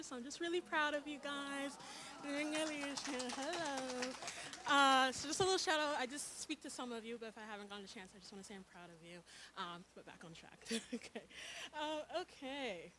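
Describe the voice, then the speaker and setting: Soft-voiced woman presenting at a panel. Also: a little high, slight background noise, poor audio. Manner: earnest, with frequent um and uh fillers. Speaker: nursing lecturer and panelist.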